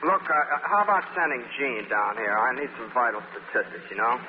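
Man acting wry flustered reporter, American, very lively pitch, 1940s radio comedy, noisy old broadcast. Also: a little fast, phone-quality sound, close to an everyday voice. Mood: wry banter. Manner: hesitant, stammering, wry. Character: flustered wisecracking magazine reporter, adult man, evasive.

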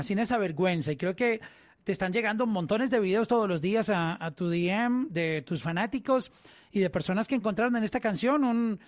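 A woman's voice: mid-range voice, dry recording. A woman with a Colombian Spanish accent hosting an interview, building long thoughtful questions for her guest. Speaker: podcast host.

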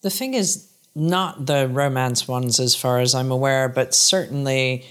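A man's voice: mid-range voice, measured pace, clear, resonant tone. Man asking rambling interview questions. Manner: conversational, long run-on questions. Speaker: podcast host. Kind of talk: podcast interview.

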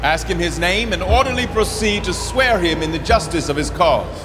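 A man's voice: sits high, lively intonation, moderate pace. An actor playing an imperious king in a Shakespearean radio drama, giving formal, commanding orders in verse. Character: imperious king presiding over ceremony. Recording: long hall reverb. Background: music.

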